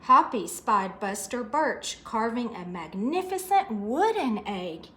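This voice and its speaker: A woman's voice: a little high, very animated, takes it slowly, bright, forceful tone. A woman storyteller reading a picture book aloud expressively to children, adding asides about the pictures.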